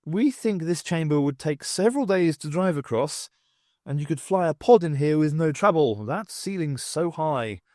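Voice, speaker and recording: A man's voice: fairly high pitch, expressive, moderate pace, warm tone, dry recording, studio quality, lightly acted. A man voicing a cheerful, enthusiastic male academic who explains things in a chatty way, in an audiobook reading.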